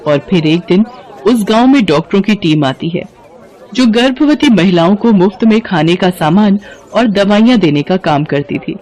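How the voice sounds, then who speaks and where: A woman's voice: mid-range voice, animated, normal speed, a little mellow. A woman narrating a scripted moral tale in a dramatic storytelling style. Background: background music.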